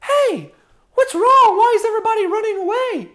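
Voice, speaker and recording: Plucky young shepherd boy, very high, squeaky, earnest, insistent, Bible storytelling. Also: very animated, a little fast. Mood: puzzled.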